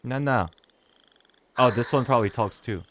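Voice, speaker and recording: A man's voice: mid-range voice, normal speed, fairly bright tone, slight background noise. A man doing casual playthrough commentary as a streamer, reflective and theorizing aloud as he goes. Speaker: gaming streamer. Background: game music.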